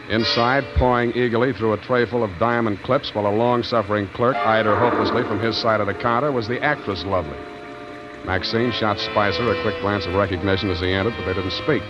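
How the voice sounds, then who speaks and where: An actor playing a wry, deadpan hard-boiled private detective in 1940s radio noir style. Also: male voice, on the low side, lo-fi sound, close to an everyday voice. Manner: deadpan, sardonic. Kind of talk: 1940s radio noir acting and first-person narration. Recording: vintage radio broadcast, music underneath.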